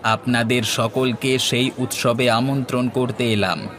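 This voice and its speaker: A man's voice: mid-range voice, fairly even intonation, measured pace, noisy background, close to an everyday voice. A man voicing an earnest, brave village man in a cartoon, speaking in a declamatory, respectful way. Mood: proclaiming. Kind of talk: Bengali cartoon voice acting. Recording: music playing behind the voice.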